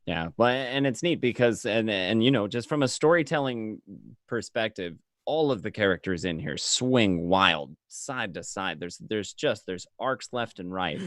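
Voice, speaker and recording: A man's voice: on the low side, expressive, measured pace, a bit bright, no echo, clean studio sound. A man hosting a casual panel interview in an enthusiastic, joking manner. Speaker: show host.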